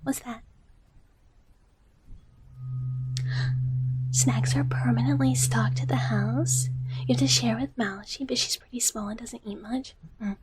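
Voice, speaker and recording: A woman voicing a sweet, gentle dragon big sister in live ASMR, whispering softly and haltingly and often repeating her phrases. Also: on the high side, fairly even intonation, background noise, natural voice. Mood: gentle, cozy. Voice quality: soft, breathy whisper. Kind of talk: whispered live ASMR roleplay, chatting with viewers.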